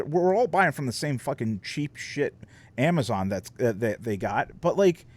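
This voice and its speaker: A man streamer chatting casually on a desk mic, rambling and swearing, with lots of fillers. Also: medium pitch. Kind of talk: casual chat. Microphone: desk microphone.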